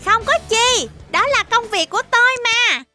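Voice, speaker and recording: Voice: very high-pitched, animated, moderate pace, bright, forceful tone, exaggerated voice. An actor voicing a cute, earnest young fox police officer in an exaggerated, instructive children's cartoon style, with music behind. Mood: proud.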